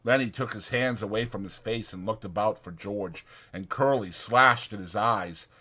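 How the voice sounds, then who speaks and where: A man's voice: fairly low pitch, moderate pace, pushed and bright. A man narrating a novel as a dramatized audiobook.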